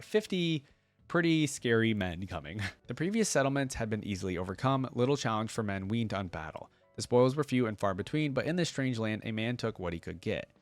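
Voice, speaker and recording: A man's voice: on the low side, dry recording. A man hosting a reaction video, reading a story aloud and commenting in a casual, slangy way.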